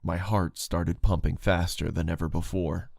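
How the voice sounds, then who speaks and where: A man's voice: sits low, fairly even intonation, moderate pace, gravelly, a little mellow, no room sound, clean studio sound. A man reading a scripted first-person horror story as its narrator.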